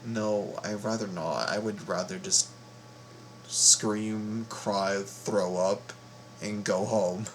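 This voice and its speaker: A man giving casual reaction commentary as a video host, halting, informal and repeating himself. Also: on the low side, fairly even intonation, slight background noise.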